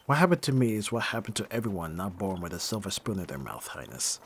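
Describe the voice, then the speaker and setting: A man playing a bitter, hardened young thief in an ASMR roleplay, speaking with mockingly courteous, formal phrasing and pointed pauses. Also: sits low, sing-song, fairly bright tone, slight background noise, heavily acted. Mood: controlled, sardonic. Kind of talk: scripted ASMR roleplay acting.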